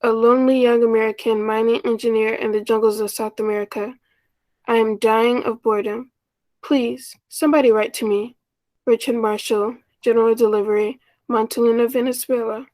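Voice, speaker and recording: Shy, wistful unmarried sister played by a woman, higher register, earnest radio-play delivery, very dry recording. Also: flat delivery, a little fast, a little mellow, no room sound, natural voice. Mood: eager. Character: shy, lonely, self-effacing unmarried older sister from Ohio. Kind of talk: 1940s radio play acting, first-person narration.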